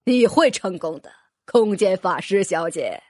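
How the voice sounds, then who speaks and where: A woman reading an audiobook, voicing a gentle, teasing older male mentor who speaks slowly and encouragingly. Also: mid-range voice, expressive, dry recording, clean studio sound, voice pushed down. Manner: slow, warm, encouraging. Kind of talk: audiobook character voice.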